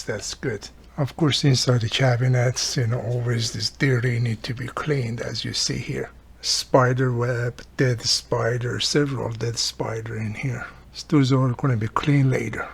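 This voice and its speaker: Slightly husky man demonstrating a repair. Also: mid-range voice. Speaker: radio repair hobbyist and host. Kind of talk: hands-on repair demonstration, casual explaining.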